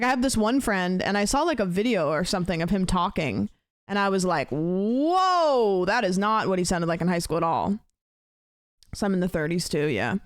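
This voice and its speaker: A woman's voice: medium pitch, no echo, studio quality. A woman in her late twenties, a streamer chatting casually with her viewers, rambling with lots of fillers. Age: late 20s.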